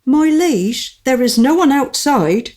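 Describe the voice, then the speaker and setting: A woman's voice: sits high, lively intonation, slow pace, darkish tone. A woman playing a cheeky, pun-loving royal jester with a teasing manner in a comic radio play. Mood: wry. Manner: teasing, quipping.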